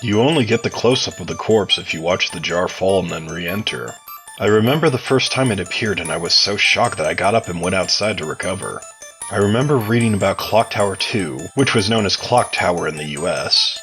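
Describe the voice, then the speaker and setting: A man's voice: on the low side, a bit bright. A man giving prepared answers as a podcast interview guest, sounding like he reads them out. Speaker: interview guest, game mod developer.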